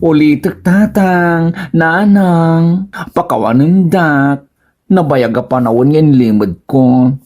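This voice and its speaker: A woman playing a tearful, pleading adult transgender daughter in an Ilocano comedy radio drama, speaking slowly with an Ilocano Filipino accent. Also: low voice, dark tone. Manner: slow, pleading.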